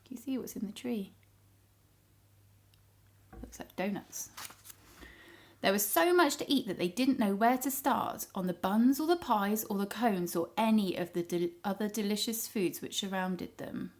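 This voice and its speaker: A woman reading a story aloud to young children in an expressive storytelling style. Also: medium pitch. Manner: pauses to ask the child listeners questions.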